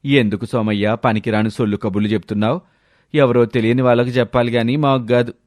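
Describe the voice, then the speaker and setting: A man's voice: medium pitch, steady tone, quick pace, darkish tone, dry recording, studio quality, lightly acted. A man playing a hardworking village labourer, blunt and reproachful, in a storybook tale. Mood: scornful. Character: hardworking village men scolding a lazy neighbour. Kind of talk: storybook character voice.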